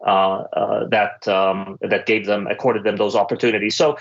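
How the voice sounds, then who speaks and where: A man from the Middle East speaking as an executive panellist, giving measured answers and often pausing to search for words. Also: lowish voice, little pitch movement, gravelly. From Middle East (Lebanon, Kuwait, Dubai). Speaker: panellist, senior finance executive. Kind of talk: panel discussion, considered answers. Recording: dull, muffled lo-fi audio.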